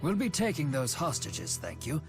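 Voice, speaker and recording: A man's voice: on the high side, wildly swooping pitch. Courteous, composed elderly statesman. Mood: resolute. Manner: formal, measured, genteel. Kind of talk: video game cutscene acting. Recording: music behind the voice.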